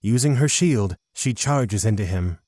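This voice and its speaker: A man's voice: fairly low pitch, lively intonation, measured pace, a little mellow, dry recording, clean studio sound. A man narrating a scripted fantasy audio drama.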